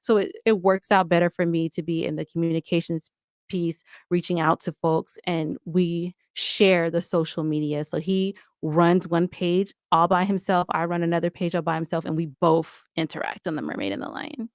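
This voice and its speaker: Candid, chatty woman, lower-pitched voice. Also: normal speed, no room sound, studio quality. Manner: chatty, candid, animated storytelling with playful asides. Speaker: podcast guest, audio drama podcaster. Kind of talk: casual interview talk.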